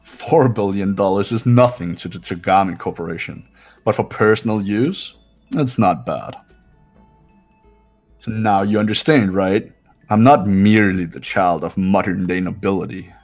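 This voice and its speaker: A man acts out a haughty young male heir from a video game. His voice is low, and he talks down to everyone with measured, pompous superiority. Music plays clearly behind him. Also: darkish tone. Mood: smug, bored. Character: arrogant, condescending wealthy young male heir. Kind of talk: visual novel game voice-over.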